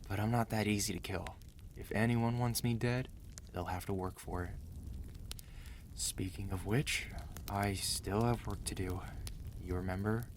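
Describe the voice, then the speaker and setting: A male actor plays a mafia boss husband with a fairly low voice, devoted and protective. He talks close and intimately in an ASMR romance roleplay, earnest and measured, firm yet gentle with his beloved. A faint noise sits behind the voice. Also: steady tone. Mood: wry, affectionate.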